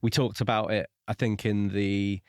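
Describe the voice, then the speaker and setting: Lower-voiced man chatting on a podcast. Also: level tone, normal speed, no room sound, clean studio sound. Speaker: podcast host. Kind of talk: casual book review discussion.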